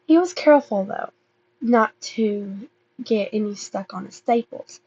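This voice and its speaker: A woman reading fan fiction aloud as an amateur narrator, halting and often stumbling over and repeating words. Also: medium pitch, animated, dark tone, slightly rough, highs cut off. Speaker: amateur podfic reader.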